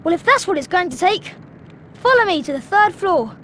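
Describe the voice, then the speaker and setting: A woman playing a young English schoolboy wizard in a video game cutscene, a sarcastic, grumbling, excitable sidekick who blurts things out. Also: very high voice, moderate pace, slight background noise, exaggerated voice. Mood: eager. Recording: music behind the voice.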